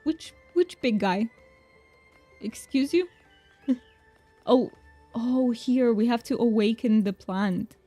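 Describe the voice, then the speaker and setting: A woman's voice: on the high side, takes it slowly, long pauses, dark tone, husky, soft background music. A young woman whose first language is Romanian, chatting casually and reassuringly to viewers while playing a game, laughing often. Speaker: streamer. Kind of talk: casual game stream chat.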